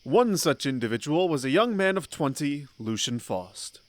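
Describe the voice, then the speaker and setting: A man narrating a scripted fantasy audio drama in a measured, literary storytelling manner. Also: on the high side, sing-song, no echo.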